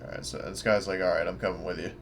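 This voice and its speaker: A man's voice: low-pitched, steady tone, racing, gravelly, slight background noise. A man playing a tabletop roleplaying game, chatting casually and thinking aloud as he describes his character's actions.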